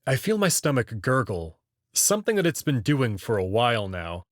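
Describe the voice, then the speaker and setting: A man reading a visual novel aloud, narrating in the first person in an unhurried way. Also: medium pitch, big pitch swings, dry recording, clean studio sound. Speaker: reader.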